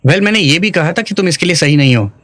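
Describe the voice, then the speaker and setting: A man's voice: on the high side, lively intonation, fast talker, fairly bright tone, dry recording. A young man playing a concerned, questioning office worker in a dubbed drama. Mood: explaining. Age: young adult.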